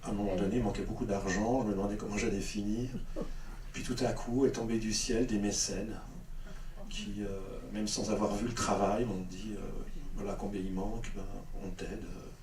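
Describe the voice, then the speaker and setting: A man's voice: lowish voice, fairly even intonation, normal speed, a little mellow. Hesitant man answering in an echoey hall. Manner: hesitant, many fillers and restarts. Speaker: film director at a post-screening Q&A. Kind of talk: spontaneous Q&A answers. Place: cinema hall. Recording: echoey cinema hall, distant mic, faint noise.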